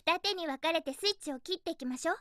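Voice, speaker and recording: A woman playing a perky, feisty young female hedgehog heroine in a Japanese video game, girlish, energetic and bossy. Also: extremely high pitch, rapid-fire, no room sound, clean studio sound. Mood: upbeat, determined.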